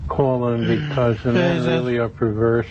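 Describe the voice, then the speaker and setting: A man's voice: medium pitch, level tone, moderate pace. A man hosting a public-access interview show and taking live calls. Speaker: call-in show host. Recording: muffled, noisy old TV recording.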